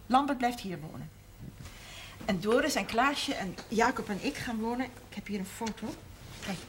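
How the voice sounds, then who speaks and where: An actress playing a middle-aged, emotional but steadfast mother in a 1970s TV drama, speaking in measured, earnest phrases. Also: fairly high pitch, natural voice. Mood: calm, resolute. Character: steadfast, emotional mother of a large Limburg family. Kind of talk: 1970s television drama acting. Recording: noisy old TV soundtrack.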